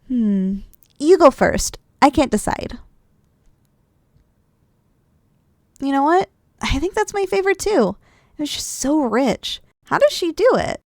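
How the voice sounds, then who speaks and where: A woman playing an affectionate, drowsy, playful wife in an intimate ASMR roleplay, speaking softly and teasingly. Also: sits high, very animated, normal speed, gravelly, no echo, clean studio sound, heavily acted. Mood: drowsy, chatty. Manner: soft, affectionate, teasing, with sighs and giggles. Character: affectionate, drowsy, playful wife cuddling after a big dinner. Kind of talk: ASMR roleplay, intimate one-sided dialogue.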